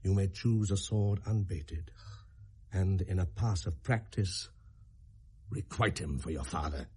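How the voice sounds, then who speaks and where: Villainous middle-aged king, British accent, deep, very rough husky tone, measured and scheming, classical radio drama. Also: dark tone, heavily acted. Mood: conspiratorial, calculating. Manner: measured, deliberate, plotting. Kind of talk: Shakespearean radio drama acting.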